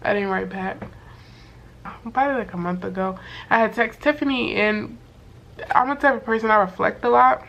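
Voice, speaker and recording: A young woman with a voice worn hoarse from sickness, telling a story to camera in a loose, slangy way full of fillers and swearing. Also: medium pitch, normal speed, long pauses, a bit bright, lo-fi sound. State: hoarse from being sick. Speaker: vlogger. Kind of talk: casual storytime chat to camera.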